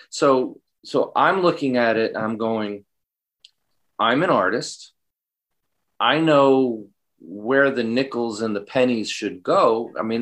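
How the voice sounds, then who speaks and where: A man's voice: mid-range voice, a bit bright. Emphatic man in a reflective interview. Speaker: interview guest, folksinger. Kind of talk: earnest conversational interview answers.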